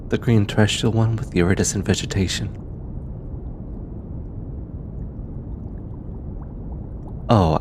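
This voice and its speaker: A man voicing a gentle, teasing alien researcher in an intimate ASMR roleplay, hesitant and affectionate. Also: fairly low pitch, noisy background, close to an everyday voice. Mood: playful teasing. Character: gentle, teasing, grateful male alien researcher aboard spacecraft.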